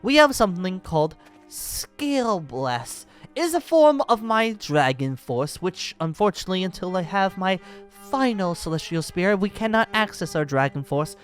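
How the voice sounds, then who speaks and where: Flamboyant, swooping dragon-slayer wizard. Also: male voice, high voice, sing-song, normal speed, fairly bright tone, faint music behind. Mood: showy, explaining. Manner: theatrical, flamboyant, cackling, pompous. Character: exuberant, boastful male celestial dragon-slayer wizard, grandly genteel. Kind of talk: improvised Minecraft fantasy roleplay acting.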